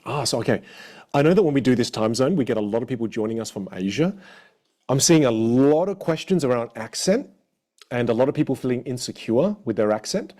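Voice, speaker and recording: A man with an Australian accent coaching a live online audience in an energetic, encouraging way. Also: mid-range voice, fairly quick. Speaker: communication coach.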